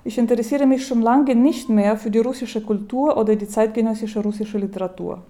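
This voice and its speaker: A woman giving a lecture, speaking in a measured way, with hesitations and restarts, and reading quotations aloud. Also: fairly high pitch, steady tone, dark tone.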